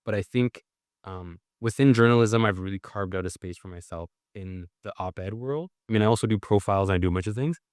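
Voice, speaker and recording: Man, low voice, podcast guest, reflective, many fillers, dry close mic. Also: brisk, darkish tone, no echo. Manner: thoughtful, with many filler "like"s and restarts. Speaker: guest, writer and journalist. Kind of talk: conversational interview answers.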